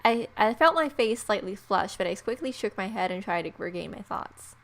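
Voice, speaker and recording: A woman's voice: medium pitch, animated, moderate pace. A woman reading a visual novel aloud as scripted narration, with casual asides. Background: faint music.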